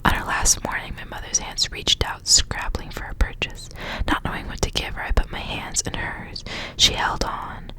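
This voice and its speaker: A woman whispering as an ASMR host, reading stories aloud with casual asides in a hushed, unvoiced delivery. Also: moderate pace. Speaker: ASMR reader, host of the channel.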